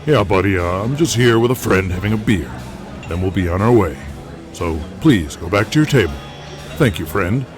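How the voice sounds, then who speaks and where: Man acting calm veteran wrestler, very low voice, good-natured, conversational, audio drama, music underneath. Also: normal speed. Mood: calm, placating.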